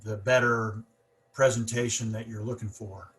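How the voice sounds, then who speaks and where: A man's voice: fairly low pitch, steady tone, normal speed, fairly bright tone. A man hosting a casual podcast conversation, talking with a stutter and many repeats and fillers. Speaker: podcast host.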